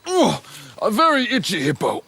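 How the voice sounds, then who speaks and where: A big itchy groaning hippo. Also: male voice, huge pitch swings, gravelly, breathy edge, heavily acted. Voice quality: deep voice. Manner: groaning and slow, drawn-out. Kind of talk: children's TV acting. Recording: loud music underneath.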